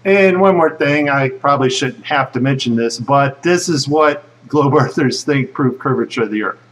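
A man giving casual, speculative commentary over footage in a conversational way, with a slightly husky voice. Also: medium pitch, moderate pace, fairly bright tone, recorded from a distance, a little hiss or hum, low-quality recording. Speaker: video narrator.

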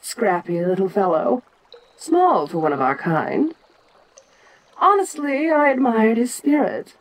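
A woman playing an ancient, imperious giant monster queen in an audio roleplay, speaking in a regal, deliberate and musing manner with frequent pauses. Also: fairly high pitch, very animated, poor audio. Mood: amused, fond. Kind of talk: slow in-character audio roleplay monologue.

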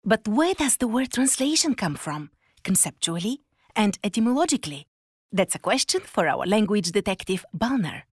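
A woman presenting a podcast in scripted narration, lively and addressing the listener with questions. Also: medium pitch, moderate pace, slightly rough, no echo, clean studio sound. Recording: music playing under voice. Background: music bed.

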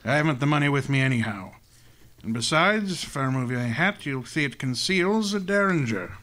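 An actor playing a courtly, pompous criminal mastermind, genial but menacing, with florid formal speech in an old-time radio drama. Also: male voice, medium pitch, expressive, moderate pace, a bit bright, lightly acted. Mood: politely menacing.

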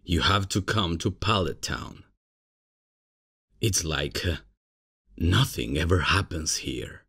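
Very deep, laid-back substitute professor, played by a man. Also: animated, a bit bright, slightly rough, exaggerated voice. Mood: smug, relaxed. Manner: casual, slow, hesitant improvising. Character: laid-back, unprofessional male substitute professor, cocky and casual. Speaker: roleplay performer. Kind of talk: ASMR comedy roleplay, addressing the listener.

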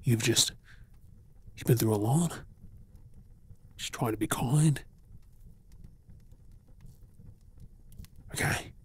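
A man acting as a kind Australian rescuer in an ASMR drama, soothing someone who is hurt. He speaks slowly and softly, with a husky, breathy voice and unhurried pauses. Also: medium pitch. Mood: gentle, caring. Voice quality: soft, breathy. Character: kind, reassuring male rescuer tending an injured woman. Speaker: ASMR role-play performer. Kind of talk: intimate ASMR role-play drama, spoken softly to the listener.

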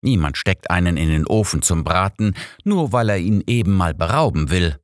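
Audiobook old detective, read by a man: low, dry sound, commanding and curt. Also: expressive, normal speed, no room sound. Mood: brisk, assured. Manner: assured, didactic, curt. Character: brisk, knowing old male detective, commanding and gruff. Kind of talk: audiobook character dialogue.

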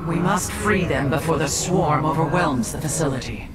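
Male actor for an honour-bound alien praetor, game cinematic. Higher register, earnest, declaimed, with loud score behind. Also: moderate pace, close to an everyday voice. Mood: urgent respect. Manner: formal, declamatory military diction. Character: earnest, honour-bound alien military commander, proud and urgent. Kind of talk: dramatic sci-fi game cutscene acting. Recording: distant sound under loud game music.

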